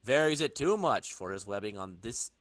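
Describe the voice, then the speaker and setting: A man's voice: lowish voice, expressive, moderate pace, fairly bright tone, no room sound. A man hosting a podcast, informally narrating a comic book in dramatic fashion with banter. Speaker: podcast host reading narration.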